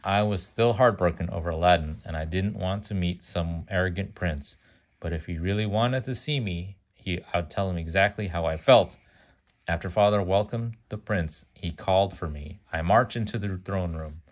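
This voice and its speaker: A man with a low-pitched voice reads a storybook aloud for a child. He sometimes trips on a word and fixes it, and he slips in casual asides about the story. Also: normal speed. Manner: stumbles over words and corrects himself.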